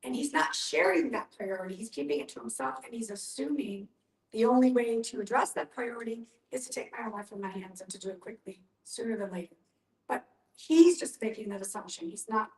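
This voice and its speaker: A woman speaking as a panelist in an earnest group discussion, explaining her points with emphasis. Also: mid-range voice. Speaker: panelist at a discussion on end-of-life planning. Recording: roomy echo, distant from the mic.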